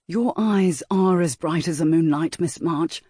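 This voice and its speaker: A woman's voice: fairly low pitch, unhurried, dark tone, slightly rough, dry recording, clean studio sound. A woman reading a teasing aristocratic rake. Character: languid, teasing aristocratic rake, adult man.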